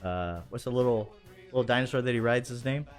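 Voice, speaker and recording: A man's voice: medium pitch, brisk. Enthusiastic rambling man hosting, faint music behind. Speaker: show host. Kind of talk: casual livestream chat.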